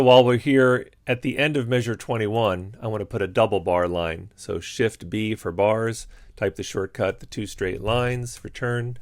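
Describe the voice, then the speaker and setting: Guy teacher talking thru notation software step by step, lowish voice, dry sound. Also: dry recording. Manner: calm step-by-step instruction with frequent fillers. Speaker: music teacher. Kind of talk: screen-recorded software tutorial.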